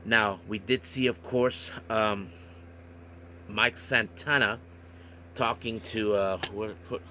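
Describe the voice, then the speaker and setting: A man hosting a podcast monologue, recapping and commenting on events in a halting way with repeated filler phrases. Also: on the low side, steady tone, sharp tone, a little hiss or hum. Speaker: wrestling podcast host.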